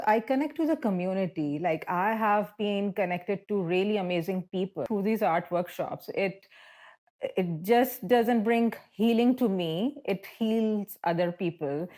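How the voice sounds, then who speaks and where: Woman, low-pitched, earnest artist interview. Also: a little mellow. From Pakistan. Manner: halting, repeats her words, sincere. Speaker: artist and art instructor. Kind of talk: interview self-introduction.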